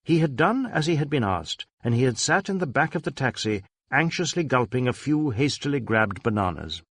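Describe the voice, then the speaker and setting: A man narrating a detective novel as an audiobook, with measured, dramatic storytelling. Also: mid-range voice, expressive, fairly bright tone, slightly rough, no echo, clean studio sound.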